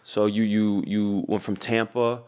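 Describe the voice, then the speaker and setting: A man hosts a podcast, chatting casually and tossing in slang like "bro". His voice sits somewhat low for a man. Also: unhurried, smooth. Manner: relaxed, slangy, says "bro". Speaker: podcast host, DJ. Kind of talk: casual interview chat.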